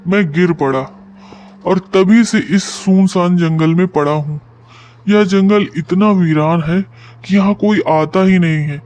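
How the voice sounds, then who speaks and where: Guy acting as a wandering holy man in a cartoon, smooth highish voice, solemn and fatherly. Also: measured pace, a little mellow. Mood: weary, pleading. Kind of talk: cartoon moral story voice acting.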